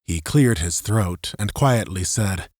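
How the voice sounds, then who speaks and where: A man's voice: low voice, takes it slowly, a little mellow, slightly rough, no room sound, studio quality. A man reading dramatic scripted narration as an audiobook narrator.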